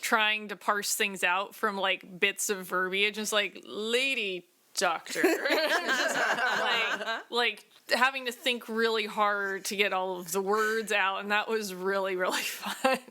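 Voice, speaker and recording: A woman's voice: mid-range voice, steady tone, slow, few pauses, sharp tone. A woman chatting casually about a game she plays, as one of a group. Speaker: tabletop game player. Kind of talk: casual chat.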